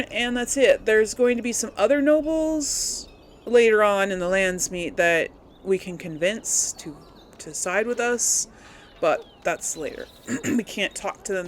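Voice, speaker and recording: A woman's voice: mid-range voice, a little hiss or hum. A woman giving casual, unscripted game commentary to viewers, rambling a little as she thinks aloud. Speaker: let's play host.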